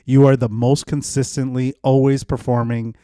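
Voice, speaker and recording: A male comedian hosting his podcast, ribbing his guest with a dry, teasing manner. His voice is mid-pitched and ordinary for a man. It is recorded close with no room sound. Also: little pitch movement, moderate pace, dark tone, no room sound. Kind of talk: casual podcast banter.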